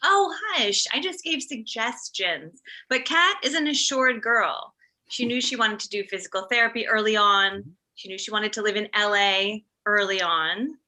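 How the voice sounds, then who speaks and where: A woman's voice: medium pitch, normal speed, bright, forceful tone. A woman playing an assured, older mother of the bride in a comedy table read.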